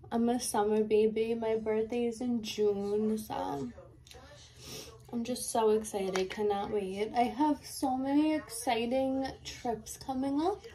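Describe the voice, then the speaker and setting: A young woman talks in a slow, unhurried way, her voice a touch high and mellow. She sounds congested and sniffly, chatting candidly through a get-ready-with-me routine in her bedroom. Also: poor audio. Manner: chatty, candid, filler-heavy. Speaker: lash tech and beauty vlogger. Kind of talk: casual get-ready-with-me chat. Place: bedroom, indoors. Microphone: camera in front, close.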